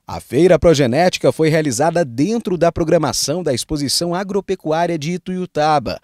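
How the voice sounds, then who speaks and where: A man's voice: fairly high pitch, fairly quick, no room sound, clean studio sound. A young man reporting the news outdoors at a cattle fair, holding a handheld mic. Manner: lively, clear reporter delivery. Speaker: field reporter.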